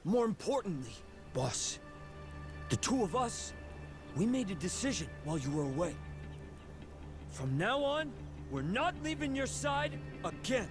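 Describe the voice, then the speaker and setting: An actor playing an excitable, loyal yakuza underling in a video game, exclaiming eagerly and deferentially to his boss. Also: high-pitched, unhurried, long pauses, music in the background. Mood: earnest, resolute. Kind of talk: dramatic video game voice acting.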